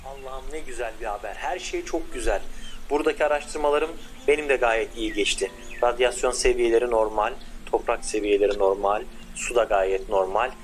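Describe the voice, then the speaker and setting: A man voicing a confident male lead scientist who gives orders to his younger brother in a bossy but warm way. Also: medium pitch, brisk, soft background music, close to an everyday voice. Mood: commanding.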